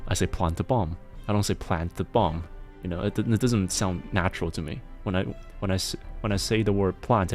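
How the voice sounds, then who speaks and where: Indian accent guy rambling to chat, lowish voice, bit of music in the back. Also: a little mellow. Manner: rambling, laughs often, many restarts. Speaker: streamer. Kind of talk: casual chat with viewers.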